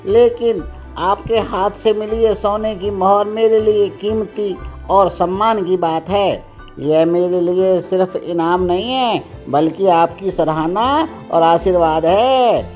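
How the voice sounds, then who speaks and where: A woman's voice: mid-range voice, steady tone, takes it slowly, darkish tone, faint music behind, lightly acted. A woman voicing a humble, grateful royal cook in a Hindi cartoon, respectful and earnest. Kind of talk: Hindi animated story acting.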